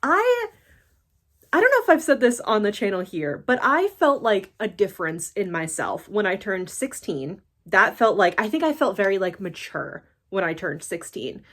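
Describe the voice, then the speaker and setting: A woman's voice: mid-range voice, big pitch swings, normal speed, a bit bright. A woman about to turn thirty, from the United States, chatting in a rambling casual vlog with lots of fillers and self-deprecating laughs. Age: late 20s. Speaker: YouTuber.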